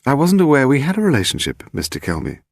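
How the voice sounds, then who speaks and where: A man voicing a cool, brisk female secretary with a crisp, deadpan manner. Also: medium pitch, no room sound, clean studio sound, voice pushed up. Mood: cool, sardonic.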